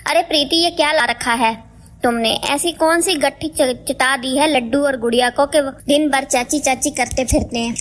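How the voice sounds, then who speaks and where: Woman acting high-pitched, bitter, scolding sister-in-law. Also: moderate pace, fairly bright tone, slight background noise. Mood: suspicious, needling. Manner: sarcastic, accusatory, scolding. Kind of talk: Hindi animated moral story dialogue.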